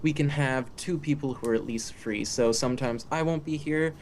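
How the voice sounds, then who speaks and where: A man's voice: mid-range voice, normal speed, a little hiss or hum. A man co-hosting a live radio podcast, a voice actor who talks in a playful, theatrical way. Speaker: co-host, voice actor. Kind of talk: casual live podcast chat.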